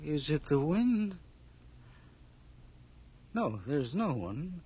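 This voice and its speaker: An actor playing a frail, frightened old man in a radio horror drama, quavering, halting and pleading in a breathy, husky voice. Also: on the high side, big pitch swings, dull audio, heavily acted. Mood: fearful, hushed.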